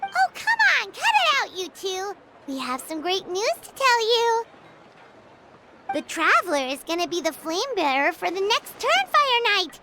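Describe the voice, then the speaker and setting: A woman voicing a small childlike fairy companion in a video game, squeaky, chirpy and bossy. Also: very animated, unhurried, background noise, heavily acted. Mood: scolding, then excited.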